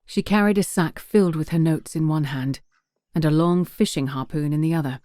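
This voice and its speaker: A woman's voice: low-pitched, a little fast, mellow, dry recording, studio quality. A woman narrating a scripted fantasy audio drama as a storyteller.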